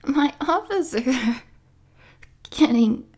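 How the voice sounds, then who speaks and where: Woman doing a crazy flirty villain lady for audio roleplay, soft mellow breathy whispering, teasing and obsessive, drags her words out. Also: high-pitched, lively intonation. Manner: slow, teasing, sultry, mock-sweet taunting. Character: unhinged, flirtatious female supervillain prisoner, obsessive and taunting. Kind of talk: roleplay audio drama monologue.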